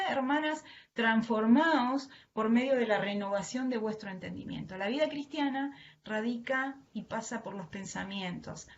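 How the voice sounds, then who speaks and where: High-voiced Argentine woman preaching, muffled audio. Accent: Argentine Spanish. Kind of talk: devotional sermon to an online audience. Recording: dull lo-fi online audio, faint noise.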